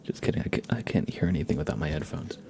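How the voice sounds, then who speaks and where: Male ASMR streamer, deep register, soft-spoken drifting into whisper, informal and rambling, noticeable background ambience. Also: lively intonation, rapid-fire. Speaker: ASMR livestream host. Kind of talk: whispered, chatty live ASMR. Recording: clear background ambient noise.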